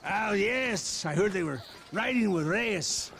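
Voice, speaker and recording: Very high, drawling Mexican colonel. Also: male voice, animated, measured pace, gravelly, a bit bright, full character voice. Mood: pompous, amused. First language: Spanish. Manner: drawling, self-indulgent, theatrical. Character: lecherous, bombastic, decadent Mexican army colonel. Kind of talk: video game voice acting.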